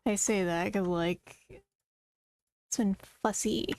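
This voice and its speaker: A woman's voice: mid-range voice, steady tone, slow pace, darkish tone. A woman streamer chatting casually to her viewers in a rambling, talkative way. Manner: rambling, chatty, talks to chat. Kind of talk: casual live-stream chat.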